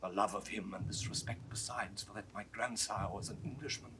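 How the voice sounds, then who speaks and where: An actor playing a mortally wounded French count, remorseful and earnest, declaiming in breathy classical Shakespearean style. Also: male voice, fairly low pitch, a little fast, lightly acted. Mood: dying, solemn confession. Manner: declamatory, breathy dying delivery. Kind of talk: 1960s classical Shakespeare audio drama acting. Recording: noisy vintage 1960s studio recording.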